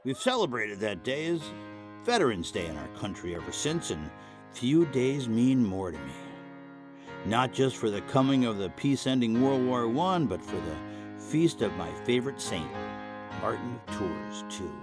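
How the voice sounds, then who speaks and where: A man's voice: medium pitch, very animated, moderate pace. A man playing a nostalgic American war veteran, narrating his memories in a reflective, sentimental way for an audio drama. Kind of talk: first-person dramatic narration. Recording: plucked guitar music behind.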